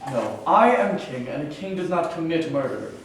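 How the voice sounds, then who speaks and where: A man voices a proud, stubborn caveman king, self-righteous and menacing. His lines come out stiff and solemn, slightly raised in pitch. The sound is distant and roomy, with a faint hiss behind it. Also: expressive, normal speed, lightly acted. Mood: cold. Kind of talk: staged play reading. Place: theater stage. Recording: echoey room, mic far off.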